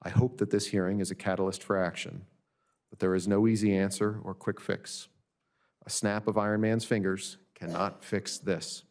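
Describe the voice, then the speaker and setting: A man with a low-pitched voice reads a prepared statement at an official hearing. He speaks as a government audit director giving testimony, keeping a formal, measured and matter-of-fact delivery. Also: level tone, darkish tone. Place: legislative hearing room.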